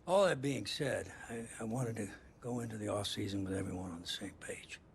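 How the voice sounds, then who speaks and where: An actor playing an earnest, firm middle-aged football coach in a video game drama, speaking plainly and haltingly. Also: male voice, lowish voice, vocal fry, a little hiss or hum. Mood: halting, solemn. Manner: halting, deliberate, plain-spoken.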